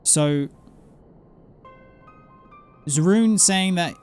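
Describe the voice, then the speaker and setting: A man giving casual commentary on a game stream, animated, often breaking off and repeating himself. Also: high voice, extremely slow, smooth. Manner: animated, self-interrupting, repeats phrases for effect. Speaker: streamer playing an online role-playing game. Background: game music.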